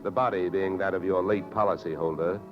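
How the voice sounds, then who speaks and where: Casting: male actor as tough, wry private investigator, low-pitched and matter-of-fact, vintage radio drama with muffled sound. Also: fairly even intonation, fairly quick, natural voice. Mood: dry narration. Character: hard-boiled male freelance insurance investigator, wry, brisk, unflappable. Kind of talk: 1940s radio detective acting and first-person narration. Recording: dull vintage radio recording with music.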